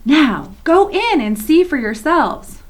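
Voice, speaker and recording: A woman reading aloud and voicing an excitable, earnest young girl who insists she is telling the truth. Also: sits high, slow, pitched above the performer's own voice, full character voice. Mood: pleading. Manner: pleading, insistent.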